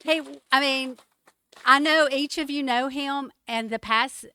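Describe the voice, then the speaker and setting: A woman's voice: high voice, unhurried, bright, forceful tone. A middle-aged woman, founder of a group, giving an earnest, chatty talk at a meeting. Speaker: guest speaker and group founder.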